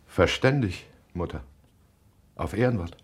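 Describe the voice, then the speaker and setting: An actor in a German radio drama playing an earnest, cornered middle-aged husband, proud and pleading, with an urgent, emphatic delivery. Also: male voice, low-pitched, expressive, unhurried, husky, exaggerated voice.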